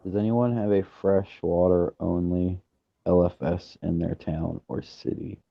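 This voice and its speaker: Gravelly low man on a headset. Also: little pitch movement, a little slow, no echo. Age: 30s. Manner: laid-back, rambling with fillers. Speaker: streamer. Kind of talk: casual chat. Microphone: gaming headset. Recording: dull lo-fi stream audio.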